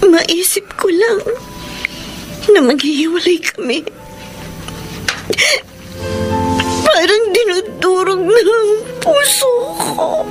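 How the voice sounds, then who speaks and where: Casting: heartbroken young Filipino woman, high tearful voice, radio drama, loud music underneath. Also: animated, slow pace, full character voice, poor audio. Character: heartbroken young woman giving up her boyfriend.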